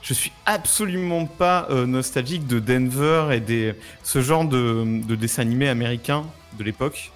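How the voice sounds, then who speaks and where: A man's voice: mid-range voice, normal speed, few pauses. A man chatting casually as a co-host on a live show. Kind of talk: casual chat. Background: music.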